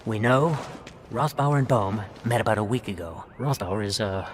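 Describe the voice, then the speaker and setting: An actor playing a pragmatic, calculating intelligence agent with a measured, persuasive manner. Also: male voice, on the low side, a little hiss or hum, close to an everyday voice. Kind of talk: dramatic game cutscene acting. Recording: music behind the voice.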